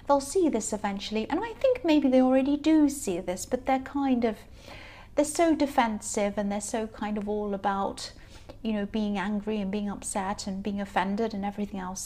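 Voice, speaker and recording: Tarot reader, woman, slightly high voice, croaky and tired; rambling, earnest, reassuring, lots of ums and restarts. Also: warm tone. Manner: rambling, hesitant, many fillers and restarts. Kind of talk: casual tarot reading to camera.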